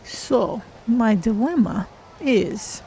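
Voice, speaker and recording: A mellow, soft-edged woman playing a feline adventurer for a game stream. She is polite and curious, choosing measured, formal words as she talks through her exploration. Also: a little high, animated, slight background noise, heavily acted, low-quality recording. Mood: thoughtful. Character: polite, curious female Khajiit cat-folk adventurer. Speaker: streamer voicing own game character. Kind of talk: in-character game playthrough commentary.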